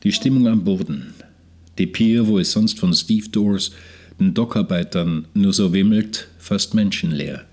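Radio drama narrator: sleepless seaman, lowish voice, measured and brooding log entries. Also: darkish tone, slightly rough. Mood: sombre, weary. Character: sleepless, troubled seaman reading his ship's log. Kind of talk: radio play diary narration.

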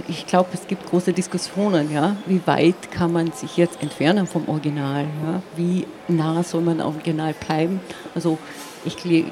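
Woman speaking thoughtfully over noise. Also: on the low side. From Carinthia, southern Austria. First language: Slovenian. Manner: halting, searching, frequent tag "ja". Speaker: poet, interview guest. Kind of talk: reflective, explanatory interview conversation. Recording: noisy, with clear ambient background noise.

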